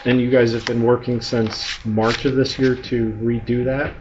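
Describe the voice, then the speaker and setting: A man's voice: medium pitch, flat delivery, normal speed. Persistent man questioning officials, muffled recording. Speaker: member of the public questioning officials. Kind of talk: public comment at a government meeting. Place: indoor public meeting room. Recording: muffled, noisy meeting-room recording.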